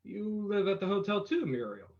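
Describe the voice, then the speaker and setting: A woman playing a composed, inquisitive gentleman sleuth in an old-time radio drama, speaking in a measured, probing way. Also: medium pitch, sing-song. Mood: curious, suave. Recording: dull, lo-fi sound.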